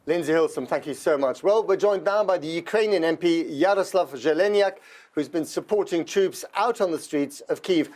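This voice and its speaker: Man, news anchor, brisk polite questions, high-pitched voice. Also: darkish tone. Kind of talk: live news interview.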